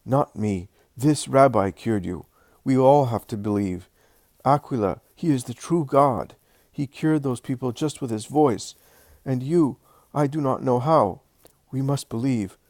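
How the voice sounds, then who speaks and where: A man voicing an excited Roman officer who exclaims and stammers in amazement. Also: medium pitch, a little slow, no room sound, clean studio sound, pitched above the performer's own voice, close to an everyday voice. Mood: fervent.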